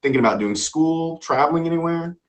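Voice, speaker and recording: Playful, lively male interview host. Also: a little high, brisk, lo-fi sound. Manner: upbeat, playful, jokey. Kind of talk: casual interview.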